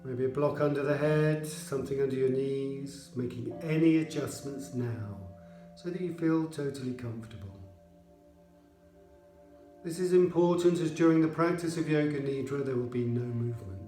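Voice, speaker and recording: Man, yoga nidra instructor, soft mellow tone, measured soothing phrasing, roomy echo, music underneath. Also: mid-range voice. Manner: measured, soothing delivery with pauses. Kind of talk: guided relaxation meditation narration.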